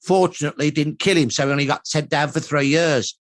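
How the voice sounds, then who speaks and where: A man's voice: fairly high pitch, fairly even intonation, fast talker, no room sound, studio quality. A middle-aged or older man from Birmingham, England, speaking as a historian in a documentary interview, in emphatic, punchy, repeated phrases.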